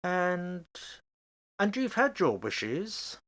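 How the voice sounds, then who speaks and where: A man's voice: high-pitched, huge pitch swings, drawn-out words, a bit bright, heavily acted. Man playing a genial father with swooping intonation. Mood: curious, stubborn. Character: genial, curious, stubborn father of a household. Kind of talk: radio play acting.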